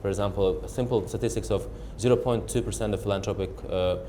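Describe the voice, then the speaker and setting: Deep-voiced, fast-talking young man. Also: slight background noise. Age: young adult. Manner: eager, energetic, quick to laugh. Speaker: panelist. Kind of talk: panel discussion.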